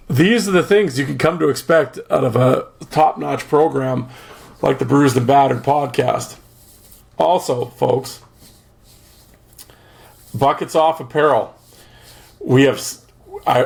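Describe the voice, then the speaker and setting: A man hosting his own podcast in a casual, rambling solo chat, joking around with lots of fillers and clearing his throat because he is losing his voice. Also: medium pitch. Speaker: podcast host.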